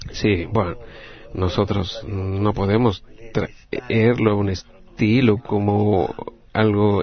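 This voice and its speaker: A man with a Latin American Spanish accent interpreting a group discussion live, in halting, phrase-by-phrase delivery. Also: fairly low pitch, highs cut off.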